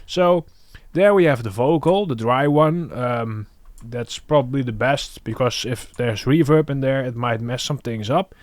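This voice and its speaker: A man hosting a music production tutorial, thinking aloud in an informal, halting way. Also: medium pitch, darkish tone, no room sound.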